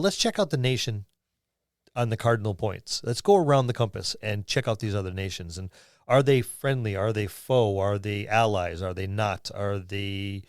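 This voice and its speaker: A man with a low voice chats as a gaming podcast co-host. He speaks informally and a little haltingly, working ideas out aloud, in a dry recording. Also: no room sound. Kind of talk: casual tabletop gaming discussion.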